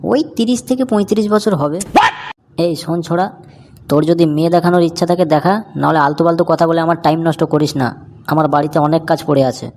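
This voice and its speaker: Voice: medium pitch, fast talker, darkish tone, close to an everyday voice. A performer voicing a blustering, lecherous old grandpa in a comic cartoon, coarse one moment and coy about romance the next. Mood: indignant blustering. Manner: blustering, coarse, bashful when talking romance. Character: cheeky lecherous old grandpa seeking a young bride.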